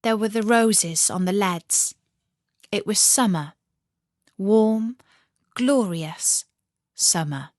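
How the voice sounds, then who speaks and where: A woman storyteller reading a scripted fairy tale in an expressive, measured way. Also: mid-range voice, no echo, studio quality.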